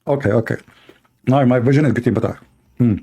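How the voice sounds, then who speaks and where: A man's voice: medium pitch, fast, dark tone, slight background noise. A man hosting a livestream debate, talking in a sarcastic, mocking, rambling way with lots of fillers.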